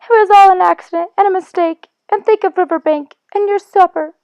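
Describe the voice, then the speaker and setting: A woman voicing a small, homesick male mole who sobs and wails in a high, broken voice. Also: fairly even intonation, a little fast, darkish tone, no echo. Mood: pleading. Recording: dry, dull lo-fi.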